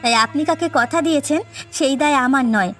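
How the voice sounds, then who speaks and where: A woman's voice: high voice, a little fast, a bit bright. A woman voicing a wronged young widowed daughter-in-law in a cartoon family drama, earnest and firm. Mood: shocked, defiant. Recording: background music.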